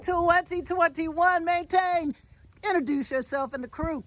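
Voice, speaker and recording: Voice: very high-pitched, measured pace. Upbeat DJ interviewer on a noisy street. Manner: upbeat, casual slang. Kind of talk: casual interview chat.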